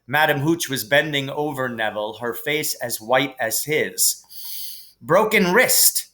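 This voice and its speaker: A man reading a children's novel aloud as expressive story narration, like a teacher reading to a class. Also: medium pitch, normal speed.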